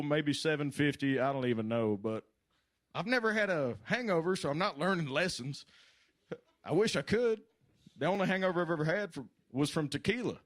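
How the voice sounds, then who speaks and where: A man with a Southern US accent, chatting on a comedy stage in a folksy, self-deprecating, unhurried way. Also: on the high side. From Kentucky, USA. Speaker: amateur comic being interviewed on stage. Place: live comedy show stage.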